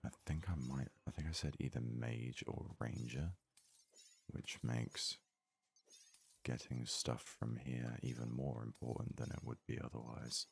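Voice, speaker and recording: A man giving soft-spoken, casual ASMR commentary while playing a video game, muttering in a hushed voice close to the mic. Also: extremely low pitch, little pitch movement, measured pace, vocal fry, slightly rough. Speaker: gaming video host.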